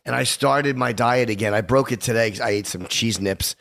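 Male co-host: mid-pitched, gravelly, scratchy, blunt and self-mocking. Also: a little fast, a bit bright. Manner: self-deprecating, blunt and profane. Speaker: podcast co-host. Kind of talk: casual chat.

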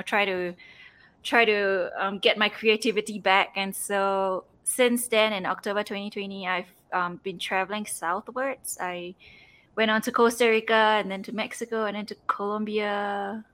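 A young woman from Singapore, interviewed as a travel blogger guest. Her voice is bright and clear, and her tone is easygoing. She fills her talk with "um" and "like" as she goes. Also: mid-range voice, normal speed. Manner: relaxed, with many fillers like "um" and "like". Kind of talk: casual interview chat.

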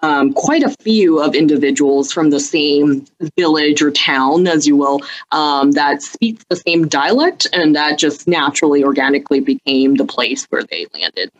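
A woman from southern China who grew up in Hawaii, a native Cantonese speaker, answering interview questions as a policy official in hesitant, measured phrases. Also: sits low, expressive, muffled recording. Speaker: podcast guest, state health policy official.

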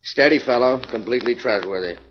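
A man's voice: mid-range voice, a little fast, close to an everyday voice. A man playing a brusque, impatient, argumentative police inspector in a 1940s radio drama. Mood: brisk. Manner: blustery, argumentative. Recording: muffled, hissy 1940s radio recording with clicks.

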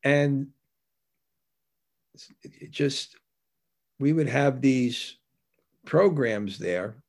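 Gravelly-voiced man telling devotional stories. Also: medium pitch. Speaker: devotional teacher giving a religious talk. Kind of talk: anecdotal spiritual discourse, storytelling between scripture readings.

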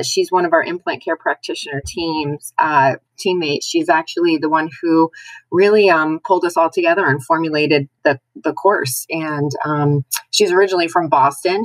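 A woman's voice: deep voice, dry recording, poor audio. An American woman chatting casually as a podcast co-host. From New Jersey, United States. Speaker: podcast co-host, dental hygienist.

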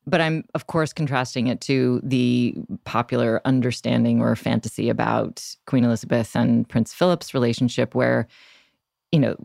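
A woman with a noticeably creaky voice hosts a conversational podcast interview. Her phrasing is measured and reflective. The sound is dry and close. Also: rumbling low, steady tone, a little mellow, no echo. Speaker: podcast host.

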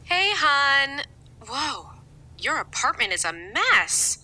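A fussy, controlling middle-aged mother, played by a woman in an audio drama, scolding and coddling in turn. Her voice is very bright and tense, fairly high, and swoops in a sing-song way. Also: sing-song, slow pace, heavily acted. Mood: fussing.

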